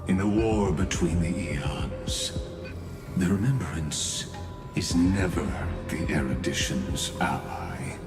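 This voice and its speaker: A cold, calculating male villain from a video game. His voice is very deep and unhurried, with a measured menace. It echoes over prominent music. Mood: coldly condescending. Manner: measured, deliberate, ominous. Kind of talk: scripted game voice acting.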